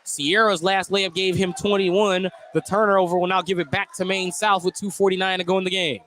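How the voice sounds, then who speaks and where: Male play-by-play radio announcer, basketball. Clearly high voice, detailed calls that lift on big plays, faint gym noise behind. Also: brisk. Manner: excited on plays, detailed descriptive calling. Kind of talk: live high school basketball radio commentary.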